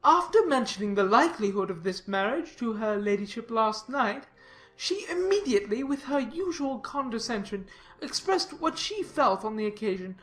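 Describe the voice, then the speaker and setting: A self-important, moralising clergyman voiced by a woman delivers a formal letter in an audiobook drama. The voice sits fairly high, stressing words deliberately, with light music underneath. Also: big pitch swings, fairly bright tone. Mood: sanctimonious. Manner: pompous, formal, deliberate emphasis. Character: pompous, sanctimonious clergyman reading out his letter.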